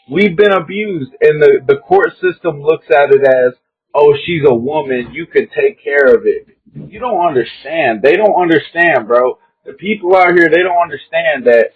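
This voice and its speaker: Husky young man on a tinny call. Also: a little high. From Kentucky. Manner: emphatic, repeats himself, says "bro" often. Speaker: guest caller. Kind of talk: earnest casual chat. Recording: tinny phone-like call audio.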